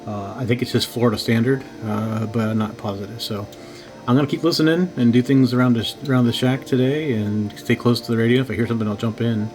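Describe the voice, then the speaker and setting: A man gives an informal walkthrough with clear music behind him. His delivery is low-key and halting, as if working things out while he speaks. His pitch sits in the middle range for a man. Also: fairly even intonation, a little mellow. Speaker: amateur radio operator hosting a video. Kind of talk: casual unscripted walkthrough of radio equipment. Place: home radio shack.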